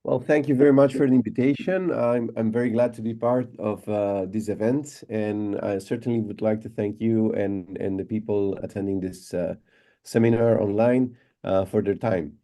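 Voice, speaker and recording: A man from Chile with a Chilean Spanish accent, giving an online lecture in a relaxed way, with frequent repeated words and fillers. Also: fairly low pitch, normal speed. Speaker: guest lecturer. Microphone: computer mic on a video call.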